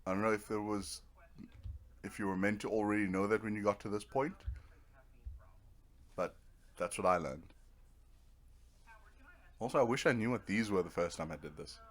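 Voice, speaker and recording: A man's voice: fairly low pitch, moderate pace, pauses often. A man giving casual, rambling let's play commentary, thinking aloud as he goes. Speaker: gamer narrating own playthrough.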